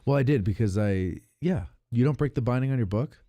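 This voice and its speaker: A man's voice: mid-range voice, expressive, a little fast, warm tone. A man hosting a podcast in a casual, conversational style. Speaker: podcast host. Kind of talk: casual chat.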